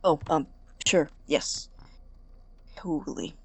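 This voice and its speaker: A woman playing a low-voiced, awkward young male student. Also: fairly even intonation, husky, no room sound, heavily acted. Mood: nervous, apologetic. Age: young adult. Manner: hesitant, halting, apologetic. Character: awkward, anxious young male college student, studious and guarded. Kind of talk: naturalistic audio drama acting.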